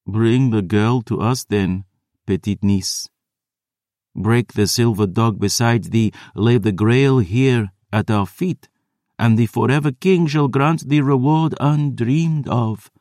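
A man's voice: mid-range voice, takes it slowly, darkish tone, no echo, studio quality, voice pushed up, lightly acted. A man voicing a pair of ancient female vampire sisters who speak in haughty, archaic words. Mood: contemptuous.

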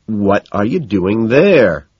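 An anxious, evasive young yuppie man acted by a male narrator in an audiobook. He is nervous and emphatic, his lively pitch rising above plain narration, in a dry recording. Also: takes it slowly, slightly rough, no echo, muffled recording, exaggerated voice.

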